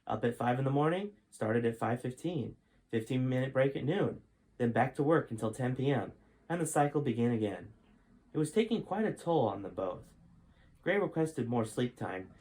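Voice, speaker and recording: A man's voice: medium pitch, darkish tone, rough recording. A man reading his own adventure book aloud as a storyteller, in scripted narration.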